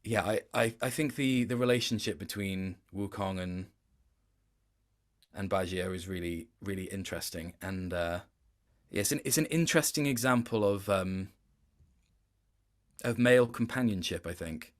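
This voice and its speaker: Guy with a lowish voice in a casual interview, thinking out loud, kinda hesitant. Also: normal speed. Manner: hesitant, reflective, thinking aloud. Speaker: guest, game voice actor.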